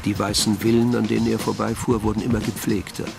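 Low, creaky dry narrator. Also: male voice, level tone, quick pace, over music. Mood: dry, measured. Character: dryly ironic male storyteller. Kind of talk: scripted literary narration in a German radio play.

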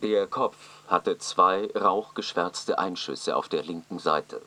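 Male official, radio drama, low voice, crisp forceful tone, slow, factual, clinical reporting. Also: slight background noise, heavily acted. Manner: factual, precise, unemotional wording. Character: matter-of-fact male official reporting forensic findings.

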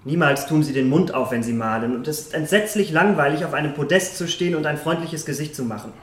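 A young actor playing an innocent, impressionable and petulant young gentleman in a German radio play, earnest and capricious. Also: a little high, fast, close to an everyday voice. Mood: pleading, insistent.

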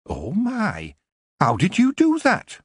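A man voicing a fussy, haggling male dwarf broom maker in a storybook reading, with a husky voice. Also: sits high, wildly swooping pitch, slow pace, no room sound, studio quality, voice pushed up, full character voice. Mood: wheedling. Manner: haggling, complaining.

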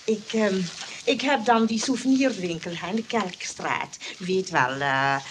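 An actress in a radio comedy playing a fussy, chatty, aggrieved shopkeeper who hesitates and keeps fishing for agreement. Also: female voice, medium pitch, normal speed, lightly acted. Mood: polite, coy. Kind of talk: radio comedy drama acting. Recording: noisy old radio play recording.

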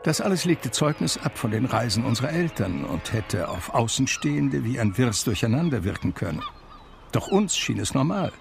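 An actor playing a blind man from a cultured New York family, reminiscing with measured, articulate, gently wry delivery in a German radio drama. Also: medium pitch, sing-song, vocal fry, music in the background. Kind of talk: German radio drama first-person narration.

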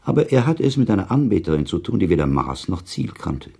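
A worldly sceptic in a literary radio drama. His extremely deep voice is gravelly and husky, and he argues with deliberate, cool irony. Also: very animated, a little mellow, heavily acted. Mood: coolly argumentative. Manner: deliberate, argumentative, ironic. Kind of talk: German radio play, literary dialogue.

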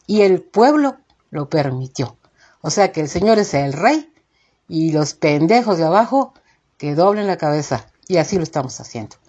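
Mexican woman, opinion host. Lowish, husky voice, emphatic and fed up, addressing the audience with pointed questions. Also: very animated, moderate pace, dark tone, dull audio. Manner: indignant, emphatic, rhetorical questions. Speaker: show host. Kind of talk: informal opinion monologue to listeners.

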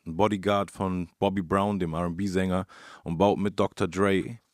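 Deep-voiced man, very dry sound. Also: flat delivery, moderate pace, no echo. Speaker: co-host. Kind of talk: casual music history chat.

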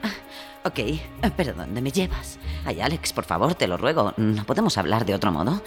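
A woman with a Spanish accent from Spain voicing an infatuated, breathy young heroine in a melodramatic parody of dubbed films. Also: extremely low pitch, big pitch swings, moderate pace. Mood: swooning. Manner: breathy, melodramatic, whispery sighs. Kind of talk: parody of film dubbing. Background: music.

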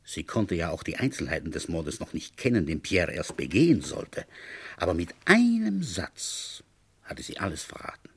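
A man playing a grumbling police inspector in a 1960s German radio play, telling an old case in a husky, conversational way. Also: low voice, very animated, moderate pace, heavily acted. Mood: wry, reflective.

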